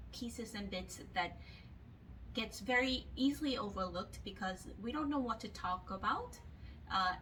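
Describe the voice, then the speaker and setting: A woman's voice: mid-range voice, bright, forceful tone. A woman in her thirties from South Korea whose first language is Korean, talking casually to camera and thinking aloud as she searches for words. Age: 30s. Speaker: vlogger. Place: home in Seoul. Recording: voice a bit distant from the mic with faint room hum.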